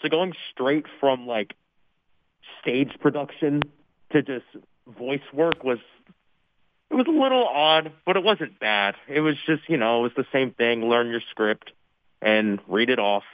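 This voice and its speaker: A man's voice: medium pitch, animated, measured pace. A teenage young man, a theater student, chatting casually as a guest in a radio interview. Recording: dry, narrow phone-like line.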